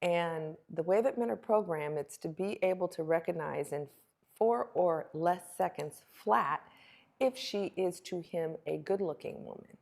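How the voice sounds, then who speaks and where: A woman with a fairly low voice and a touch of gravelly creak talks as an expert guest in an interview. She teaches emphatically, posing rhetorical questions and briefly acting out the remarks she quotes. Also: a little slow, darkish tone. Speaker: guest relationship expert and author. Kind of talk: animated interview talk, teaching with role-played questions.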